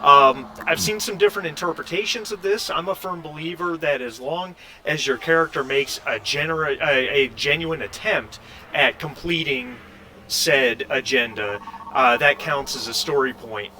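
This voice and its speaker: A man's voice: fairly high pitch, measured pace, bright, forceful tone, a little hiss or hum. A man hosting a tabletop roleplaying game as game master, talking casually in an encouraging, explanatory way.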